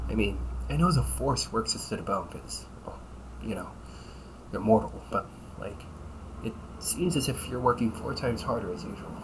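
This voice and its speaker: A man's voice: fairly low pitch, expressive, moderate pace, background noise, close to an everyday voice. A man playing a cynical, teasing vampire cop in an audio roleplay, talking in a slangy, sarcastic and flippant way. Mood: casual, coaxing. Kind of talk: audio roleplay, casual conversational acting.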